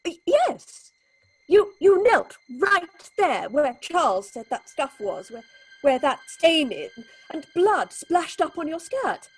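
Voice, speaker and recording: A woman playing an unhinged theatre actress haunted by visions of blood, speaking in a halting, repetitive, feverish way in a horror audio drama. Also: sits high, very animated, soft background music, full character voice, poor audio. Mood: frantic alarm.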